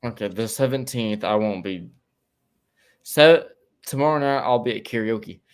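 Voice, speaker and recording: A man's voice: fairly low pitch, expressive, normal speed, clean tone. A man hosting a podcast, chatting in a laid-back, joking way. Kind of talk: casual chat.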